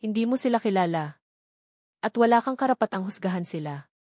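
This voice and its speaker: A woman's voice: medium pitch, animated, brisk, darkish tone, no room sound, lightly acted. A woman narrator plays a defiant grown son in a dramatic story, with a Filipino accent. The manner is blunt, wounded and confrontational, in a dry recording.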